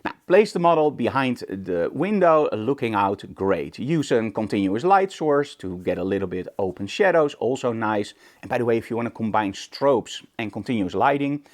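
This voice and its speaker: A man's voice: mid-range voice, big pitch swings, normal speed, few pauses. A man hosting an informal photography tutorial, speaking conversationally and emphatically with rhetorical questions. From Netherlands. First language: Dutch. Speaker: photography instructor, show host. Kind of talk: informal tutorial talk to camera.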